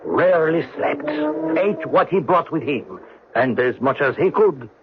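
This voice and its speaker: An actor playing a storyteller who narrates a wartime tale in a measured, dramatic radio style. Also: male voice, a little high, animated, background noise. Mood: measured, suspenseful. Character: measured male storyteller recounting a wartime escape. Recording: muffled old radio recording.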